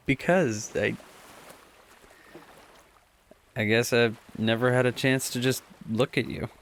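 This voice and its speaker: A man's voice: medium pitch, steady tone, normal speed, vocal fry, slight background noise, close to an everyday voice. A young man playing Adam, a cocky but affectionate teenage boy in an audio drama, bantering playfully like a know-it-all. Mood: tender.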